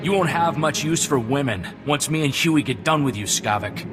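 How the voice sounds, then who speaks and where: A man's voice: a little high, expressive, normal speed, long reverb tail, background noise, lightly acted. An actor playing a young, plainspoken, feisty male gunslinger sidekick in a video game. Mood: threatening.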